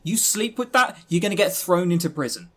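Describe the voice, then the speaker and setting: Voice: mid-range voice, expressive, fairly quick, fairly bright tone. A podcast co-host chatting casually about games in an exasperated, emphatic, sweary way. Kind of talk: casual visual novel review chat.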